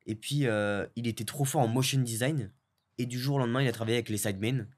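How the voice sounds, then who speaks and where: A young man from French Guiana, a video editor, chatting in a relaxed way with lots of fillers and restarts. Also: medium pitch, fast talker. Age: young adult. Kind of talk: casual interview chat.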